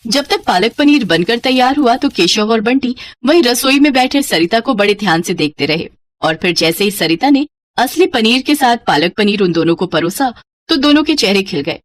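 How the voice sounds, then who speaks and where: Lady reading a kids story, expressive, pitch moves around a lot. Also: normal speed, dry recording. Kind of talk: scripted children's story narration.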